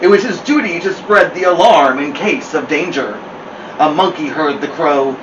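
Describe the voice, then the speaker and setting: A man reads a children's story as a host, with expressive and deliberate phrasing. His voice is fairly high, heard at some distance from the mic in a roomy space. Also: highs cut off, very noisy. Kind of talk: animated children's picture-book reading.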